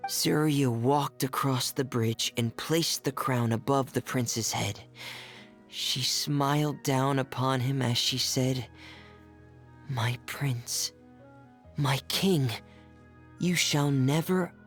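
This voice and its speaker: Gentle quiet youth, soft husky voice, measured delivery, storybook game dialogue, music underneath. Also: male voice, medium pitch. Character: quiet, gentle, earnest teenage boy.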